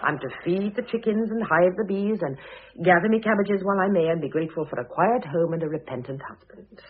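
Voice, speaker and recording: An actress with a British accent playing a weary, dignified, sharp-tongued middle-aged mother in a vintage radio drama. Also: on the low side, brisk, breathy edge. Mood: wry, resigned. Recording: muffled, phone-like old radio recording.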